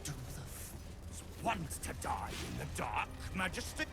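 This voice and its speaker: A man's voice: a little high, sing-song, measured pace, vocal fry, over loud music, exaggerated voice. A man voicing a fanatical half-spider creature in a fantasy game, reverently devoted to his queen and lapsing from muttering into whispers and sudden cries. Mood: agitated pleading.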